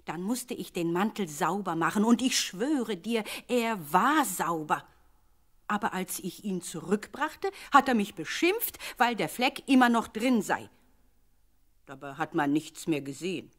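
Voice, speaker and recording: A woman's voice: mid-range voice, animated, unhurried. An actress playing an indignant but practical royal servant in a radio play, earnest in her complaints and advice.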